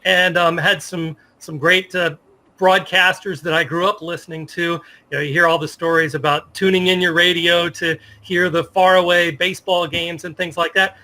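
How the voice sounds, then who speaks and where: Bright, high-voiced American man in his fifties. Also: little pitch movement, normal speed, low-quality recording. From Virginia, United States. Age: 50s. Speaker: interview guest, sports media professional. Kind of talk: casual interview storytelling.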